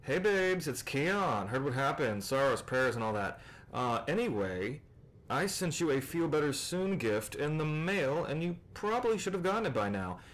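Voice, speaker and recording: A man's voice: on the high side, sing-song, normal speed, a bit bright, rough recording. A man playing a flippant, breezy friend leaving a casual voicemail in an audio roleplay.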